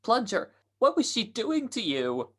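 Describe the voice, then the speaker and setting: A woman's voice: a little high, huge pitch swings, a little slow, heavily acted. A woman playing a possessive young sweetheart in a radio-style comedy mystery. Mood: accusing. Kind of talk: 1940s radio mystery acting.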